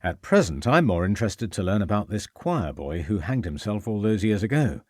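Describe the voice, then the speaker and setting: A man's voice: fairly low pitch, very animated, normal speed, darkish tone, dry recording, clean studio sound. A man voicing an earnest, composed male police inspector who speaks formally.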